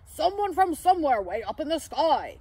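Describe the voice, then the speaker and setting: A woman voicing a kindly, excitable old man in a children's story, with a hearty, fatherly manner. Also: high voice, moderate pace. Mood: urgent, calling.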